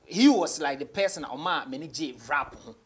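A man's voice: fairly high pitch, animated, moderate pace, breathy edge. A Ghanaian man in his thirties chatting casually as an interview guest, mixing English with Twi. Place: small office studio, indoors.

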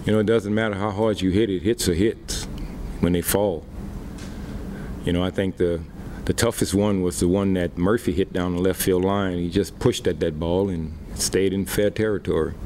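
A middle-aged baseball manager answering reporters' questions at a post-game press conference, plain-spoken and unhurried with many fillers, into a desk microphone. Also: male voice, deep voice, fairly even intonation, darkish tone, slight background noise.

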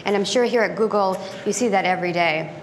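A woman's voice: medium pitch, quick pace, a little hiss or hum, poor audio. A middle-aged woman giving a lecture with slides in a conference room, talking conversationally with frequent ums and restarts. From United States. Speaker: guest speaker. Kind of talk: lecture presentation. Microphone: lectern mic, later handheld.

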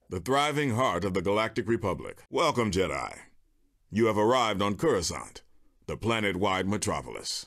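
Solemn jedi master mission giver in a video game, low husky voice, stern n formal. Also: male voice, full character voice. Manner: measured, formal, authoritative. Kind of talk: scripted game character dialogue.

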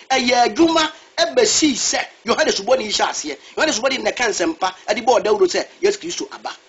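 Male preacher, very high and lively delivery, dull lo-fi recording. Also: breathy edge. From Ghana. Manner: switches between Twi and English, asks a reader to read verses. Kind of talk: sermon.

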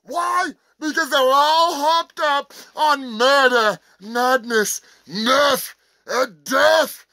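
Man acting as a frantic meth-smoking zoo showman, sharp bright tone, fried gravelly rasp, profane boasting. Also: very high voice, extremely slow, no room sound, clean studio sound, full character voice. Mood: manic. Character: manic meth-smoking male roadside zoo owner. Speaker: comedian in character. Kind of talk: crude sketch comedy monologue.

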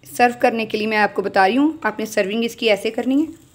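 A woman's voice: fairly high pitch, a little fast. A woman with a Pakistani accent narrating a recipe step by step as a home cook, addressing viewers directly and instructively. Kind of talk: step-by-step cooking tutorial voice-over.

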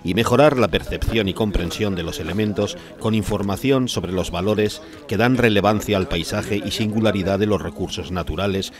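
A man's voice: on the low side, normal speed, few pauses. A man with an accent from Spain reading a scripted news voice-over as narrator. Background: music.

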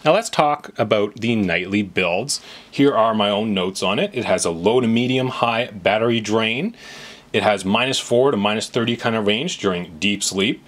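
A man's voice: on the low side, moderate pace, fairly bright tone. A man hosting a tech tutorial, narrating a step-by-step walkthrough in an explanatory, reassuring manner. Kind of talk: instructional screen walkthrough narration.

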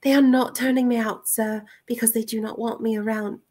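A woman's voice: a little high, steady tone, fairly quick, darkish tone, voice pushed up, natural voice. A woman voicing a meek but dignified young widowed mother with formal, wronged politeness, as in a storybook reading. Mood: hurt, formal.

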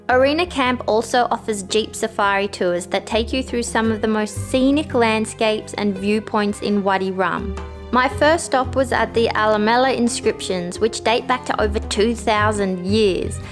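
A young woman narrating a travel vlog in a bright, enthusiastic, chatty way, filming herself on an action camera held at arm's length. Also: a little high. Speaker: travel vlogger.

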